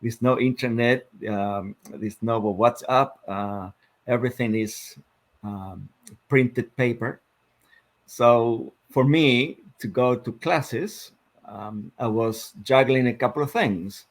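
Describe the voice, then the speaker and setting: A man in his fifties from Argentina with an Argentine Spanish accent, a guest telling his life story in a reflective, measured way with many fillers. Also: medium pitch. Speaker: guest, sports psychologist. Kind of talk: interview storytelling.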